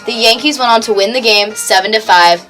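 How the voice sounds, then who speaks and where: A woman's voice: fairly high pitch, a little fast, a bit bright, soft background music. A young woman reading a scripted 1920s-style radio sports report.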